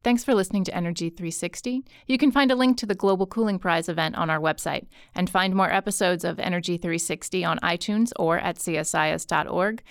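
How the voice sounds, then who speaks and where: A woman hosting a podcast, briskly reading a scripted outro. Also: on the low side, smooth, dry recording, studio quality. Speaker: podcast host.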